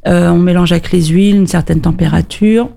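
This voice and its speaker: Female guest, very creaky and mellow, informal explanatory delivery. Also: on the low side, steady tone, a little fast. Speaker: interview guest, farm producer. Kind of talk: casual explanatory interview talk.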